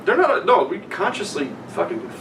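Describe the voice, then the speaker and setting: A man hosting a podcast, bantering casually with friends in a profane, excitable, halting way. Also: high voice, creaky, recorded from a distance. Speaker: podcast host. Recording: roomy, off-mic, noisy background.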